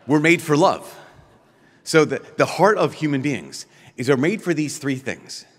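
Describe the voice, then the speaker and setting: A middle-aged man, a priest, giving an animated keynote at a podium, full of rhetorical questions and quick restarts. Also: on the high side, big-room sound. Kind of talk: lively conference keynote. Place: large conference hall, at a podium. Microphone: podium microphone.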